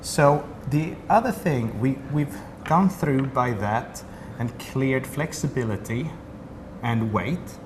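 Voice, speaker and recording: A man's voice: medium pitch, slow pace. A man from Sweden with a Swedish accent, a company representative explaining a product in detail during an interview. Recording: noisy room ambience.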